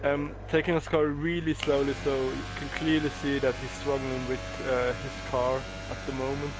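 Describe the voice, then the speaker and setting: A man's voice: medium pitch, little pitch movement, normal speed, noisy background. A man co-commentating a sim racing session, hesitant and full of fillers as he adds color remarks.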